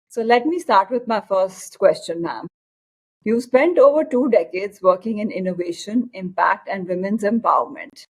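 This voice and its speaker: A woman's voice: mid-range voice, breathy edge, poor audio. A woman hosting a speaker series, reading a formal introduction and asking interview questions. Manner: formal, reads from a prepared script. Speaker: interviewer and host.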